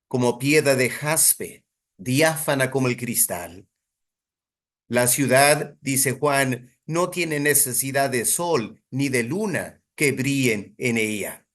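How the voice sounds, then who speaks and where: A man teaching a Bible study in a deliberate, emphatic sermon style, putting rhetorical weight on his phrases. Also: medium pitch, fairly bright tone.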